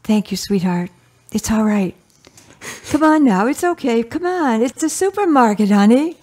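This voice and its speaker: A woman acting in a radio drama as a devout, gentle older mother, patient and earnest as she counsels her grown daughter. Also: on the high side, animated, normal speed. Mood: soothing.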